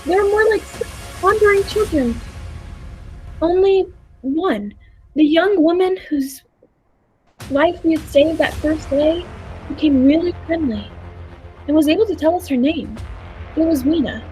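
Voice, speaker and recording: A woman's voice: very high voice, unhurried, pauses often, dark tone, soft background music, rough recording. A woman playing an earnest, thoughtful time traveller who narrates a radio play with dramatic storytelling. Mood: reflective, wistful.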